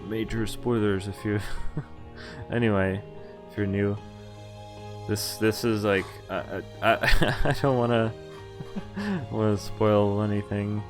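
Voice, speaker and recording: A man streaming a visual novel, reading it aloud and commenting casually in a halting way with offhand swearing. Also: lowish voice, faint music behind. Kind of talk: casual game walkthrough with read-aloud narration.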